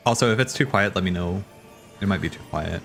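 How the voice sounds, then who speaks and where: A man hosting a livestream, commenting casually on game cutscenes in an excitable, rambling, slangy way. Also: low voice, brisk. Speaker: livestream host. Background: game soundtrack music.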